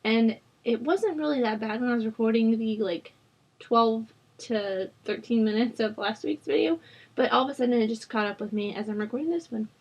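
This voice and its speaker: Chatty young woman, rough sore throat. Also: a little high, moderate pace, darkish tone. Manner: rambling, chatty, with frequent fillers. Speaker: Sims builder channel host. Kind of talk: casual gameplay voice-over. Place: at home.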